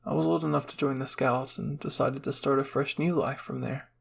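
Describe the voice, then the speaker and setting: A man reading a first-person fan fiction story as soft, measured ASMR narration. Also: medium pitch, steady tone, creaky, husky.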